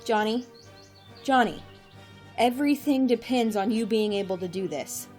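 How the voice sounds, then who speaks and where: An actress as a determined woman director in an audio drama, giving orders with dry wit. Her voice sits fairly high, with faint music underneath. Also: moderate pace, a little mellow, lightly acted. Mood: earnest, encouraging. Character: commanding director, a wry, determined woman leading a mission.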